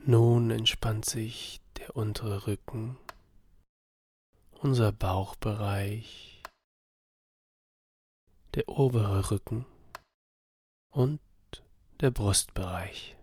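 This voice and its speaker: A man softly narrating a guided body-scan meditation for sleep, soothing and half-whispered, with a breathy voice. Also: fairly low pitch, drawn-out words, long pauses.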